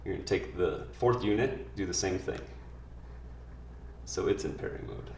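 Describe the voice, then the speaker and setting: Male tutorial presenter, low-pitched, plain informal delivery, echoey room sound with faint background noise. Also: lively intonation, normal speed, gravelly, recorded from a distance. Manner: plain, informal spoken explanation. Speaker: product demonstrator. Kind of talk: instructional product tutorial, step by step.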